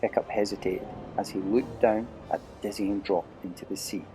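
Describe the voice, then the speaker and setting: A man's voice: lowish voice, steady tone, moderate pace, music in the background, poor audio. A man narrating an adventure storybook dramatically as part of an audio play.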